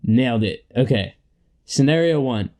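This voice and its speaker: A young man acting in a comedy radio play as a crude, cocky slob who coaches people in a pompous, deliberate way. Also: mid-range voice, expressive, warm tone, natural voice. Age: young adult.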